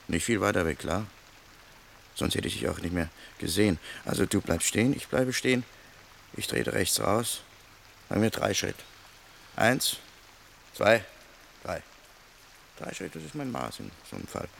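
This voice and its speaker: An actor playing a gruff, sarcastic vagabond who grumbles in colloquial speech in a radio play. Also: male voice, deep voice, lively intonation, a little slow, pauses often, a bit bright, slight background noise, exaggerated voice. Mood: matter-of-fact, arguing. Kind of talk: German radio play acting.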